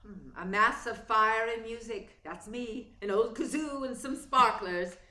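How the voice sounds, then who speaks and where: An actress playing a proud, middle-aged theatre star in a radio play, dramatic, wry and bitingly sarcastic. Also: female voice, on the high side, unhurried, bright, forceful tone. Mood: icy sarcasm. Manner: dramatic, wry, sardonic delivery. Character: proud forty-year-old stage diva, theatrical and bitingly sarcastic. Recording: roomy sound with faint music.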